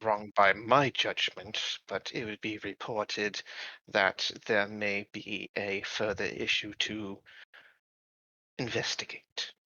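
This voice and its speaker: A man playing a formal, guarded corporate agent in a tabletop roleplaying game, choosing measured, evasive words. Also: lowish voice, steady tone, bright, forceful tone, dry recording, dull audio, heavily acted. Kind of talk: improvised tabletop roleplay dialogue.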